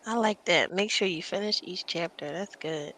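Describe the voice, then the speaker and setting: A woman hosting a podcast in a casual, slangy, animated chat, often laughing. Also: fairly low pitch, normal speed, no room sound. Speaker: podcast host.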